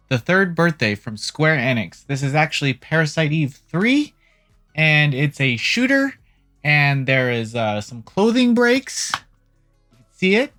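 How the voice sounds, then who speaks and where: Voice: mid-range voice, a bit bright. A host giving a casual, opinionated video game news rundown with informal, joking asides. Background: background music.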